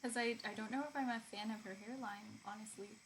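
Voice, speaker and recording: A woman's voice: mid-range voice, steady tone, a little fast. A woman live streaming art, chatting casually and informally with viewers and reading their comments aloud. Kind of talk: casual chat with stream viewers while drawing. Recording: clear background noise.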